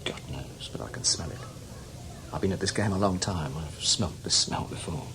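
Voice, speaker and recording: Deep-voiced, world-weary old spy. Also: male voice, moderate pace, background noise. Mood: guarded, knowing. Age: older. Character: seasoned male British spy, world-weary and cynical. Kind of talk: radio drama acting, conversational.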